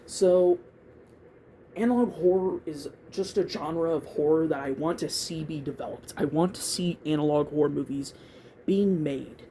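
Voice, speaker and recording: Casual vlogger, androgynous, soft mellow tone, halting and repetitive, some background noise. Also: mid-range voice, poor audio. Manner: hesitant, repeats words, thinking aloud. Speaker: YouTube video creator giving opinions. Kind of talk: casual rambling opinion talk to camera.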